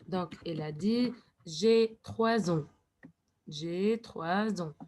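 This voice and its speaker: A woman teaching French to young learners, speaking slowly and deliberately and sometimes switching to English to explain. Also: on the low side, a little mellow. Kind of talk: classroom lesson.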